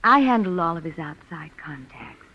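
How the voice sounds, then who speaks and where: An actress playing a cool, coy femme fatale who assists a psychic, in a 1940s radio drama. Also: female voice, low voice, sing-song, normal speed. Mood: coy, poised. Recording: dull old radio recording.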